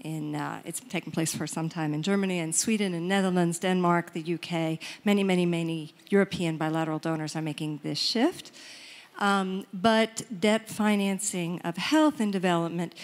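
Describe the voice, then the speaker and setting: A woman putting a formal, measured question from the audience at a conference, in technical wording. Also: lowish voice. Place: large conference hall.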